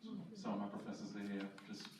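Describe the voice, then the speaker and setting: A young man, introducing himself on a panel in a relaxed way. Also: on the low side, quick pace. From Trinidad and Tobago. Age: 20s. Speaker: theology graduate student. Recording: echoey hall, distant mic, noise.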